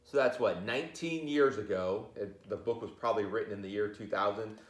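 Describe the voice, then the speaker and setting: A man reading a children's picture book aloud in an informal, jokey, expressive way, with chatty asides. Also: fairly low pitch, a little fast, roomy sound.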